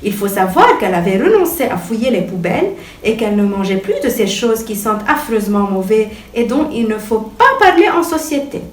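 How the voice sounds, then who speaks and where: A woman reading a children's bedtime story aloud in an expressive, theatrical storytelling manner. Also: mid-range voice, a little fast. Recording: echoey room, distant mic, music behind.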